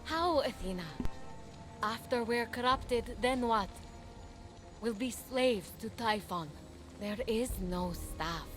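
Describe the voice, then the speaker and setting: High-voiced, earnest young game heroine. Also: female voice, expressive, takes it slowly, long pauses, over music. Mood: urgent. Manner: earnest, encouraging. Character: young mortal hero, earnest, stubborn and caring. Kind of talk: animated video game acting.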